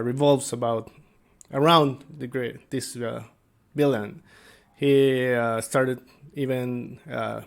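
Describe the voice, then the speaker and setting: A man giving an informal explainer as a game master, speaking haltingly and searching for his words. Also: mid-range voice. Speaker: tabletop game master and video creator. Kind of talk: informal explainer talk to viewers.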